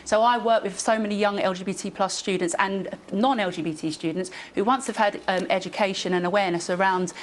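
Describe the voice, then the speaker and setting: A woman speaking as an educator in a studio debate, eager and hurried. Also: medium pitch, steady tone, slight background noise.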